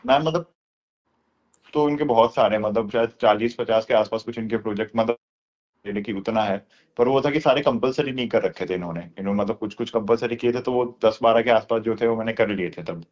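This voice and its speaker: A man's voice: on the low side, fairly quick, darkish tone. Muffled phone audio, young guy chatting casually, explains stuff loosely, loads of filler words. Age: young adult. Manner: colloquial, explanatory, with repeated filler words and echo words. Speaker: course student being interviewed. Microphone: phone call. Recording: dull lo-fi phone call recording.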